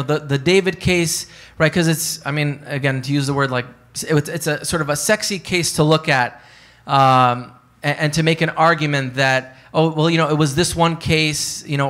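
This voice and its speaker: A man's voice: a little high, fairly bright tone. Man lecturing into a handheld mic. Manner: conversational lecturing with many fillers and hedges. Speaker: panelist. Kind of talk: academic conference talk. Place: hotel conference room. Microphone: handheld microphone.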